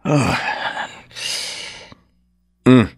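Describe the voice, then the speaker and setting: A man's voice: drawn-out words, a little mellow, dry recording, studio quality. An actor playing a middle-aged, hard-drinking father in a Filipino radio drama, hot-tempered yet doting, colloquial in manner. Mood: tender, apologetic.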